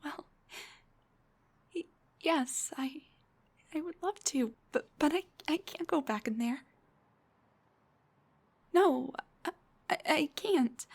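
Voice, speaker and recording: A young woman voicing an embarrassed, self-deprecating headless dullahan on a date, in an intimate roleplay, breathy and hesitant, often slipping towards a whisper. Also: high-pitched, heavily acted. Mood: flustered, pleading. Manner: hesitant, stammering, joking. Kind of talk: intimate audio roleplay acting.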